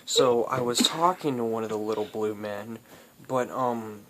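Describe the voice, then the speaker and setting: Halting male storyteller, noisy recording. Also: medium pitch, sharp tone. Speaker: storyteller, host. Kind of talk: casual improvised storytelling.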